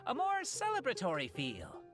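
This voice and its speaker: A woman's voice: fairly high pitch, wildly swooping pitch, moderate pace. A woman voicing a playful, outgoing friend in a video game, with a teasing, breezy manner. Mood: cheerful. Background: game music.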